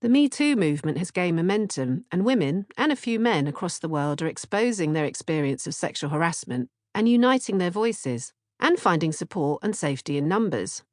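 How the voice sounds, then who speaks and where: A woman's voice: on the low side, big pitch swings, brisk, hardly any pauses, a little mellow, dry recording, clean studio sound. A woman narrating an audiobook from a script. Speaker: author-narrator reading audiobook, podcast co-host.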